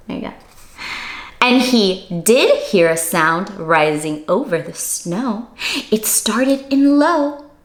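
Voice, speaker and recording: Woman, high animated voice, unhurried pace, dramatic interactive children's read-aloud, roomy echo. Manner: expressive, dramatic, engaging listeners with questions. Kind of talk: interactive storybook reading with asides to children. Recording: roomy, echoey sound.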